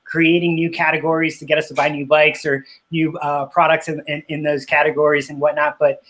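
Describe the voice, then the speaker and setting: Middle-aged man, Spanish first language, interview guest; slightly high voice, hesitant and full of fillers. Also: little pitch movement, fairly quick, a bit bright. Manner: hesitant, many fillers and restarts. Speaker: interview guest, cycling business owner. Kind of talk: casual interview talk.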